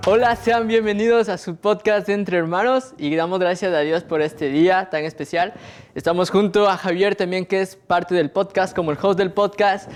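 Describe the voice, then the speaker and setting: A man's voice: high-pitched, fairly even intonation, moderate pace, soft background music. Male podcast host, friendly, stops and restarts as he goes. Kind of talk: casual podcast hosting.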